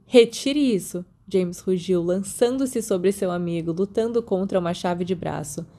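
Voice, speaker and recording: A Brazilian woman reads a story as an amateur audiobook narrator. Her voice is fairly low and very mellow, with a soft storytelling delivery. She gives the dialogue as well as the narration, occasionally tripping on a long word. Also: moderate pace.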